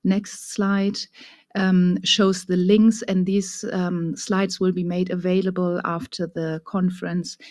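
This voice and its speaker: A woman with a German accent, giving a measured, explanatory presentation over slides with frequent fillers. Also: fairly low pitch, darkish tone, no echo, clean studio sound. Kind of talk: remote presentation.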